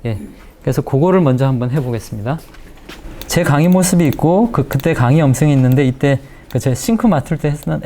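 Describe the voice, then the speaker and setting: Mellow-voiced man teaching software. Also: mid-range voice, gravelly, slight background noise. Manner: explanatory, repeats phrases as he demonstrates. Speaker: video editing instructor. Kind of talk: software tutorial lecture. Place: indoor lecture room in front of a large screen. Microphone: lapel mic.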